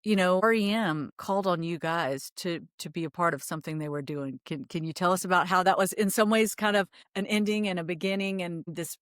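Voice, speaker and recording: A woman's voice: lowish voice, brisk, few pauses, no room sound, studio quality. A middle-aged woman hosting a podcast in a conversational interview style. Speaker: podcast host.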